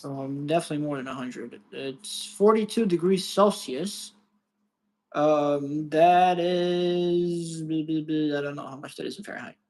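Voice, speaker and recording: A man's voice: fairly high pitch, unhurried, darkish tone. A young man from Brazil chatting casually as a podcast co-host, loose and profane, often restarting his sentences. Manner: loose, swearing, rambling with restarts. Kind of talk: casual chat.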